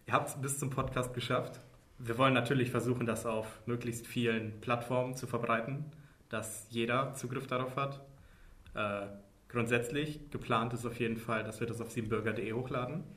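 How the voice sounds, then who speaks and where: A man in his early twenties talks in a mid-range voice on a casual podcast. His manner is dry and joking, even when he explains plans. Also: medium pitch, brisk. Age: 20s. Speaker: podcast co-host.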